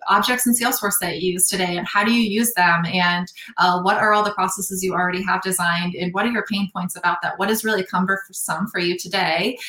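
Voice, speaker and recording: A woman's voice: medium pitch, sharp tone, reverberant, rough recording. A young adult woman talking as a podcast guest, explaining her work thoughtfully with frequent fillers. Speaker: podcast guest, sales operations analyst. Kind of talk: casual interview talk.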